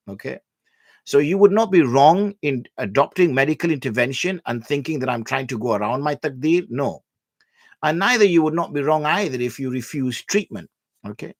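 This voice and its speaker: Male Islamic scholar, mid pitch, calm explainer for a Q&A show, dry close sound. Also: no echo. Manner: measured, patient, explains with everyday examples. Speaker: Islamic scholar answering viewer questions. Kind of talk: religious Q&A explanation.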